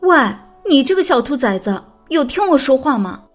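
A woman's voice: high-pitched, sing-song, normal speed, soft background music. An actress playing a spirited, blunt but kind-hearted young woman in an audio drama, playfully scolding. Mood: exasperated. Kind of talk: audio drama character acting.

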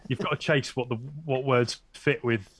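A man in his thirties with a baritone voice, a music producer being interviewed over a video call, relaxed and self-deprecating. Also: normal speed, no echo. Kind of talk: casual interview chat. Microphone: studio microphone over video call.

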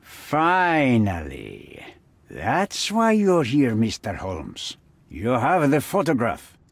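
Husky, menacing crime boss. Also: male voice, mid-range voice, huge pitch swings, dry recording, heavily acted. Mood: calculating, smooth. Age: middle-aged. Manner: formal, deliberate, imperious. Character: menacing, haughty middle-aged male crime boss. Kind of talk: dramatic video game acting.

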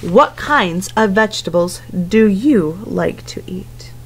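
A woman in her thirties reads to small children in a teacher's manner, at a medium pitch. She keeps her phrasing simple and mixes the book's text with questions and asides to her listeners. It is recorded at home in a living room. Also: animated, slow pace, a little hiss or hum. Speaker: teacher reading aloud to children. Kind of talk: picture-book read-aloud with asides and questions to young listeners.

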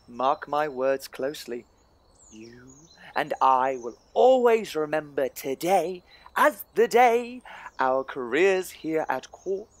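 A man playing an ambitious, excitable court songwriter in a theatrical historical comedy audio drama. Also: a little high. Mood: proud, eager. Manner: theatrical, excitable, stammers in panic.

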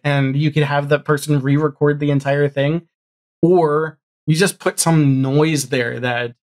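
A man with a mid-pitched voice chats informally as a guest on a podcast video call. His delivery is halting, often doubling back on words while he explains how he works. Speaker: podcast guest, audio drama showrunner. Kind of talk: casual chat. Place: home room, video call.

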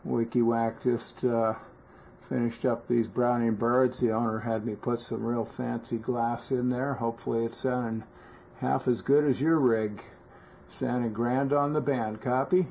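A man's voice: medium pitch, level tone, measured pace, gravelly, darkish tone, a little hiss or hum, poor audio. An American man with a folksy, slangy way of talking, giving a casual hobbyist demonstration and chatting on CB radio. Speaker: radio repair technician and video host. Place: indoor radio workbench.

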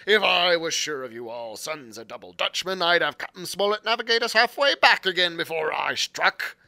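A man voicing a cunning old male pirate quartermaster in a loud, rolling pirate drawl. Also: a little high, lively intonation, normal speed, gravelly, heavily acted. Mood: scheming.

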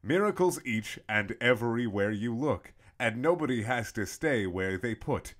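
A young man giving a mock-dramatic, theatrical reading with swooping emphasis and long pauses. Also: medium pitch, lively intonation. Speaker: comedic reader.

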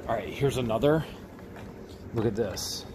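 A man's voice: medium pitch, a little slow, noisy background. A man hosting a casual unboxing vlog, exclaiming and repeating himself as he talks directly to viewers. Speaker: vlog host.

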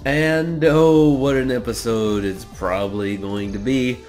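A man's voice: medium pitch, fairly even intonation, a little slow, darkish tone, soft background music. A young man with a cold, nasal and sniffly, giving casual, sarcastic let's play commentary and swearing now and then. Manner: sarcastic, self-mocking, swears.

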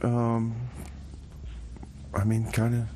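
A man with a medium-pitched voice hosts a radio show. His delivery is casual and conversational, with little rise and fall. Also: completely flat delivery, drawn-out words, darkish tone, slight background noise. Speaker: radio host.

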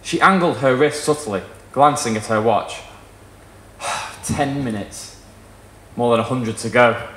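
A young man giving an expressive, theatrical live reading of literary fiction. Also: medium pitch, slow pace, pauses often, a little hiss or hum. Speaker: story reader. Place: small indoor performance room with wooden wall. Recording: roomy live venue sound.

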